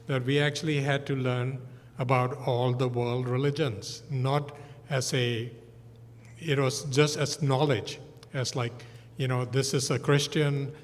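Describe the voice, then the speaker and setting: Panelist, male, from Malaysia and Singapore, earnest delivery, long church reverb. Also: mid-range voice. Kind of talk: panel discussion. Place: church sanctuary.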